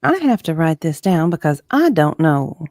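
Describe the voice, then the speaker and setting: A woman reading the part of a guarded, politely stubborn witness in a dramatized deposition, measured and evasive. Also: deep voice, sing-song, a little mellow, no room sound, clean studio sound.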